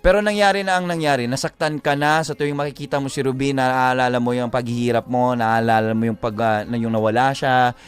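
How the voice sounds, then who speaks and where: A man's voice: mid-range voice, fairly even intonation, fairly quick, soft background music. A Filipino man co-hosting a live show, chatting casually and giving earnest love advice, repeating phrases for emphasis. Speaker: radio show co-host giving advice to letter senders.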